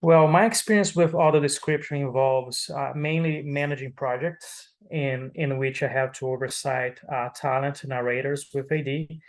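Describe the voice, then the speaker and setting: A man's voice: medium pitch, steady tone, moderate pace. A man with a Brazilian Portuguese accent, a native Portuguese speaker, giving thoughtful interview answers with frequent fillers and restarts. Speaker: audio description manager.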